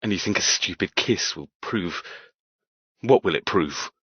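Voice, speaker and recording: A man's voice: low-pitched, animated, a little slow, a bit bright, slightly rough, no room sound, highs cut off, full character voice. An actor playing a cold, cruel, arrogant young man in an audiobook, sardonic and controlled in manner. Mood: scornful. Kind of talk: audiobook character dialogue.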